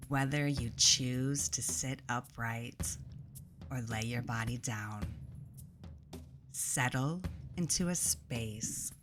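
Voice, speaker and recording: A woman leading a guided meditation in a soothing, deliberate way, pausing between phrases, her soft voice turning breathy as phrases end. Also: extremely low pitch. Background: instrumental music.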